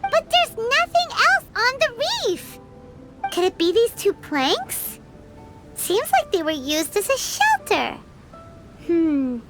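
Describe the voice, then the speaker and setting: A woman voicing a tiny, childlike fairy companion in a video game, squeaky, cutesy, cheerful and earnest. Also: very animated, takes it slowly, exaggerated voice. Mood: curious. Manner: cutesy, refers to self in third person. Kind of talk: scripted video game character dialogue. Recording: loud game music behind.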